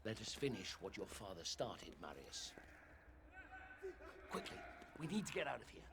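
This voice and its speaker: An actor playing a grave older Roman statesman who speaks in a measured, solemn way. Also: on the low side, big pitch swings, vocal fry, noisy background.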